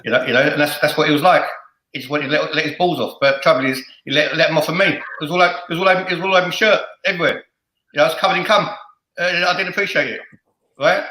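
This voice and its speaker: A man's voice: fairly high pitch, fairly even intonation, racing, sharp tone. A middle-aged man hosting a casual football fan chat, jokey and crude, often repeating words as he stumbles along. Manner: stammering repetitions, jokey, crude, sweary. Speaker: host of a football fan show. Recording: roomy, echoey sound.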